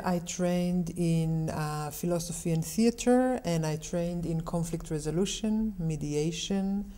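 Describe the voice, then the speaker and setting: A middle-aged woman from Israel, speaking as an interview guest in a calm, measured, explanatory way. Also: low-pitched. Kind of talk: unhurried interview talk.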